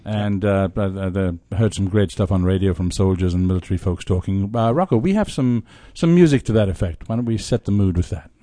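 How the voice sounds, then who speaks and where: A man hosting a casual radio show, talking in a halting, conversational way. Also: low-pitched, dark tone, dry recording, clean studio sound. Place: radio studio.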